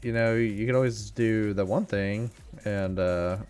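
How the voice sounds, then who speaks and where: A man's voice: on the low side, fairly even intonation, unhurried, a little mellow, smooth, soft background music. A man in his twenties chatting casually while streaming a mobile game, joking and laughing often. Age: 20s. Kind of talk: casual gaming livestream chat.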